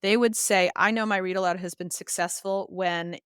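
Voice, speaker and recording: A woman's voice: medium pitch, fairly even intonation, normal speed, a bit bright, clean tone, no echo, studio quality. A woman giving articulate, earnest explanations as an expert guest in an interview. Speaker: literacy expert and author, podcast guest.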